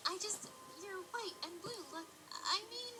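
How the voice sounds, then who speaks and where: A woman's voice: extremely high pitch, normal speed, loud music behind the voice. A woman voicing a young female unicorn scholar who gets easily flustered and stammers. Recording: loud hiss noise.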